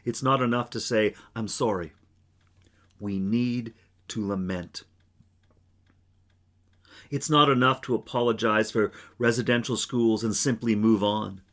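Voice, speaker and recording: Slow-spoken, low-voiced male pastor. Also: fairly bright tone. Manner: solemn, deliberate, measured phrases with long pauses. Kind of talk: sermon, reflection.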